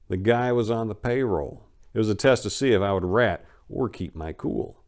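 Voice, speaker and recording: Male narrator, lowish pitch, dramatic audiobook reading, first-person storytelling, colloquial, emphatic. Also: a little mellow.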